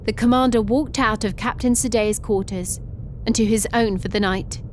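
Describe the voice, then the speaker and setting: A woman giving even, matter-of-fact scripted narration for an animated sci-fi story. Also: a little high, normal speed, a little hiss or hum. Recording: music bed under narration.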